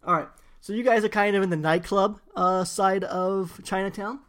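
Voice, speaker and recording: A man's voice: sits high, normal speed. Laid-back male game master. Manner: relaxed, laughing, filler-heavy narration. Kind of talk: narrating a tabletop game.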